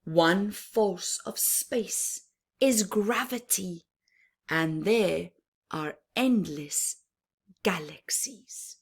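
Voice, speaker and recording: A woman reading a picture book aloud for young children, expressively and with chatty asides, pausing between phrases and often dropping to a hushed tone. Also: lowish voice, animated, slightly rough. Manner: deliberate phrasing with pauses, often dropping to a hushed near-whisper.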